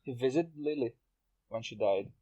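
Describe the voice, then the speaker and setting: A man's voice: medium pitch, moderate pace, warm tone, breathy edge. A man hosting a casual group podcast discussion. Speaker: podcast host.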